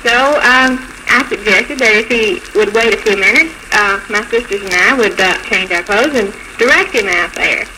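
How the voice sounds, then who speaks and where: A woman with a medium-pitched voice tells her story as a radio interview guest. She speaks politely and a little haltingly. The 1940s recording has clear crackle and noise. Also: sharp tone. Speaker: bride, show guest. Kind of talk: unscripted personal storytelling in interview.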